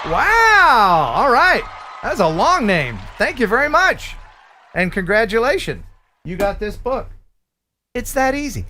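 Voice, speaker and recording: A man hosting a live stream in a casual, chatty way, reading out donations with bursts of excitement. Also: very high-pitched, a little slow, a little hiss or hum. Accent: neutral radio American. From Philadelphia area. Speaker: livestream host.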